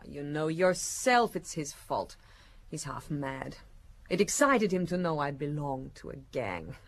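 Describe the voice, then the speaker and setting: A coolly contemptuous gangster's moll in a radio mystery. Her voice is creaky and she drags her words out slowly, languid and scornful. She sounds defiant and sardonic. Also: low-pitched, very animated, full character voice. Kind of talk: radio detective drama acting.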